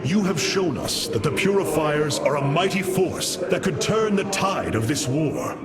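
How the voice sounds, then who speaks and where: An actor playing a noble, resolute alien leader in a video game, stately, formal and commanding. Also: male voice, fairly high pitch, animated, unhurried, over loud music. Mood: rousing, solemn.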